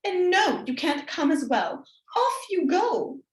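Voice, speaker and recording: A woman's voice: very high-pitched, big pitch swings, takes it slowly, pitched above the performer's own voice, heavily acted. A woman voicing a cheerful, polite young girl in a storybook reading, in an echoey room. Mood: bossy.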